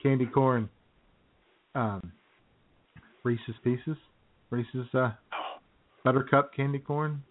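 A man's voice: mid-range voice, steady tone, takes it slowly, pauses often. Chatty laughing man on phone-like radio. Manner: chatty, laughs often, mock outrage. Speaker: radio show co-host. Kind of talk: comedic radio banter.

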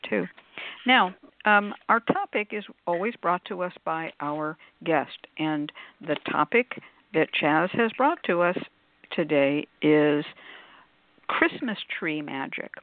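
A woman hosting a casual live talk show, chatty and effusive, with emphatic asides. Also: fairly low pitch. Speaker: radio show host. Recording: phone-like, narrow-band sound.

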